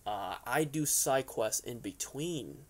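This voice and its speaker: A man's voice: medium pitch, lively intonation, takes it slowly, darkish tone. A young man talking in a casual, rambling opinion vlog, tagging his points with little check-in questions. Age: young adult. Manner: conversational, rambling, frequent "right?" and "you know" tags. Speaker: YouTube host. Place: furnished basement room, indoors.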